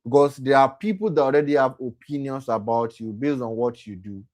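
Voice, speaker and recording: A man's voice: mid-range voice, fairly quick, a little mellow. Young man giving a motivational talk. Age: young adult. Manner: repetitive, self-correcting, often asks "right?". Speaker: program host and speaker.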